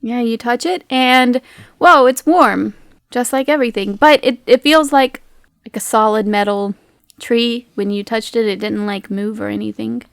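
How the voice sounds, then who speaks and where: A woman's voice: a little high, moderate pace, vocal fry, no echo, studio quality. A woman game master narrating a tabletop roleplaying game in a casual, giggly, playful and conversational way.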